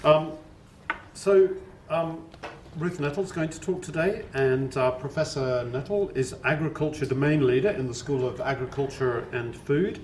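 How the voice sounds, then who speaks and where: A man's voice: mid-range voice, darkish tone. Man chairing a seminar in an echoey room. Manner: casual, hesitant, many ums. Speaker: seminar chair introducing the speaker. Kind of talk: introduction at a seminar. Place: lecture room. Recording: echoey room, faint background noise.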